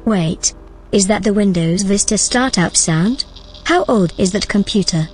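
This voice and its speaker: An actress playing a calm, playful helper to a stranded man in an audio drama, composed and matter-of-fact. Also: medium pitch, measured pace, faint music behind, close to an everyday voice. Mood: playful, helpful.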